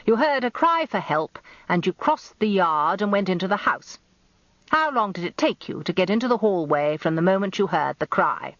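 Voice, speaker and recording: A woman's voice: mid-range voice, animated, normal speed, fairly bright tone, dry recording, dull audio, pitched above the performer's own voice, natural voice. A British woman voicing a blustering, combative older male defence barrister with theatrical courtesy in a radio story. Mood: combative, probing. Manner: growling, elaborately courteous, theatrical cross-examiner.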